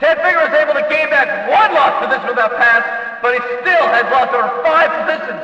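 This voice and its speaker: A man playing an excitable race announcer in a video game, calling the race from the booth in a folksy, emphatic, dramatic way. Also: extremely high pitch, fast talker. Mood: measured, critical. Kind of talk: excited motorsport race commentary. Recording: echoey track PA, slightly dull, distant.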